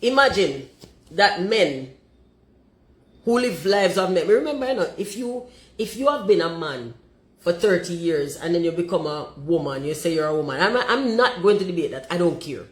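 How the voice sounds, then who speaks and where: A Jamaican woman with a deep voice, talking forthrightly and emphatically and slipping into patois. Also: lively intonation, normal speed, echoey room. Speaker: commentator.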